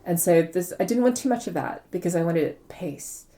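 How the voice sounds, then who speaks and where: A woman's voice: on the low side, animated, a little fast, mellow. A woman speaking conversationally as an interviewed author, explaining things reflectively and repeating words for emphasis. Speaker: interviewed novelist.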